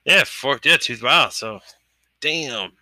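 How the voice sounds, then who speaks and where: A man's voice: medium pitch, lively intonation, slow, sharp tone, no echo. A man in his thirties in casual chat, hesitant and profane, full of fillers and restarts. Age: 30s.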